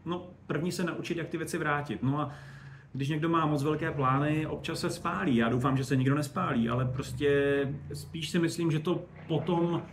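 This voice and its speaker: A man giving animated, colloquial, digressive explanations as an expert guest in a casual interview. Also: fairly high pitch. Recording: roomy echo, faint background noise.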